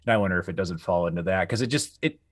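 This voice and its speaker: This is a man with a fairly low voice and a dry, close sound. He talks casually as a podcast host, feeling his way tentatively through each opinion. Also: racing, no room sound. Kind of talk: casual panel review chat.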